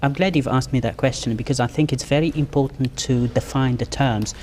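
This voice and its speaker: A middle-aged man from Morocco, a professor guest, answering interview questions in a measured way with many pauses. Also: medium pitch, steady tone, darkish tone, long reverb tail, a little hiss or hum.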